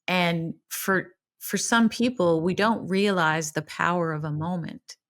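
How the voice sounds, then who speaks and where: A woman's voice: low voice, fairly bright tone. A woman hosting a podcast, asking questions and affirming her guest, with fillers as she talks. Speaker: podcast host. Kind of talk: conversational interview.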